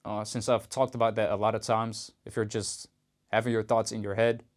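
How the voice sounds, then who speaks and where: A man's voice: fairly low pitch, little pitch movement, fairly quick. Laid-back Dutch man vlogging. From Netherlands. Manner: rambling and laid-back, fillers, restarts, slangy. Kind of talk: casual vlog talking to camera.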